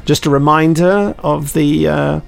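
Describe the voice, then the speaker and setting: A man's voice: a little high, steady tone, measured pace, creaky, smooth, soft background music. A British man hosting a podcast, chatting casually with rambling, self-mocking, dryly jokey anecdotes. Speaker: podcast host and audio drama maker.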